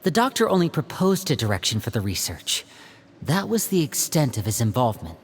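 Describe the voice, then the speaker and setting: A man playing a composed, loyal young operative in a video game, measured and earnest as he leads. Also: medium pitch, very animated, breathy edge, big-room sound. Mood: earnest, firm. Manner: measured, explanatory, firm. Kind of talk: video game character dialogue.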